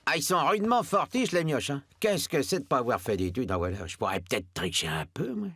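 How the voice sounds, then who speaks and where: A man's voice: mid-range voice, sing-song, a little fast, a bit bright, husky, full character voice. A man voicing a dim, gullible kidnapper henchman with childish eagerness in a French cartoon. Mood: eager, puzzled. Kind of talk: French animated series dubbing.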